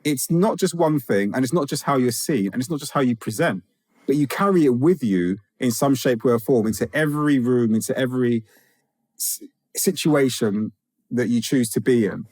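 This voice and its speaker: A man's voice: medium pitch, lively intonation, normal speed, a little mellow, no echo. Reflective man from England, dry studio sound. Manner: reflective, with restarts and rising emphasis. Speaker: guest, author and teacher. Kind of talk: conversational interview.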